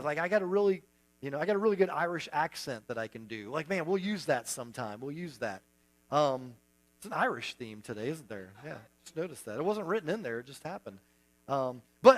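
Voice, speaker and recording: A man's voice: fairly high pitch, a bit bright, rough recording. Chatty man preaching a sermon. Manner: conversational, self-deprecating asides, lots of "you know" and "like". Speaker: pastor.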